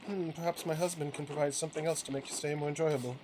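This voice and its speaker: A man's voice: on the high side, fairly even intonation, fast talker, background noise, lightly acted. A man voicing a polite, attentive innkeeper's wife from a game, formal and courteous. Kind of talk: reading game dialogue aloud.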